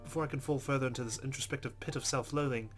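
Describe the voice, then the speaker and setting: A man's voice: mid-range voice, steady tone, very fast, a bit bright. A man hosting a let's play, reading visual novel narration aloud. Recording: music behind the voice.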